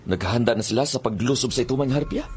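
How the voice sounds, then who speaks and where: A man with a Western Visayan Filipino accent playing a quiet schemer musing and questioning a messenger in a radio drama. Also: mid-range voice, rapid-fire, noisy background, close to an everyday voice. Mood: musing, sly.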